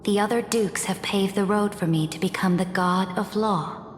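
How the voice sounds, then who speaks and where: An actress playing a cold, commanding, ruthless empress in a video game, with an imperious, measured and solemn delivery. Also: female voice, mid-range voice, steady tone, slight background noise, lightly acted. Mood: solemn, resolute. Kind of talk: video game drama dialogue. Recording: echoey reverberant hall, music behind.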